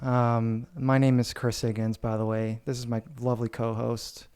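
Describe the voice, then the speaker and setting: A man hosting a casual podcast, thinking aloud in a halting way and easily amused. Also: mid-range voice, flat delivery, darkish tone, clear, resonant tone. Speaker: podcast host. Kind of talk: casual chat.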